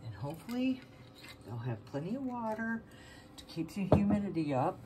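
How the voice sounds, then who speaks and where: A woman's voice: mid-range voice, sing-song, normal speed. A plain-spoken, folksy woman giving casual home-video narration on a noisy phone recording. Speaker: farm vlogger.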